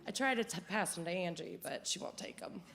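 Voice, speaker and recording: A middle-aged woman from the United States speaks in a lowish voice. She jokes casually as she introduces herself over faint background noise. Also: brisk, creaky. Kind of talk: casual self-introduction to a group. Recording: faintly noisy room, distant pickup.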